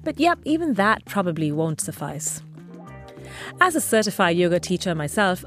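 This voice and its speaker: A woman host narrating a podcast in a calm, clear, scripted presenter style. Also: fairly low pitch.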